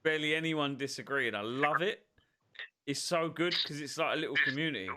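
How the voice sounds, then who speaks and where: Bright-voiced man swearing casually. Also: a little high, fairly quick. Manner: chatty, swears freely, laughs often. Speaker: podcast co-host. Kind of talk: casual pub-style chat.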